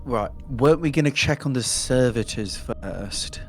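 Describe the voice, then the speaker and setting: A man's voice: medium pitch, expressive, measured pace, faint music behind. A man chatting casually as a player in a tabletop roleplaying game show. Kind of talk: casual banter.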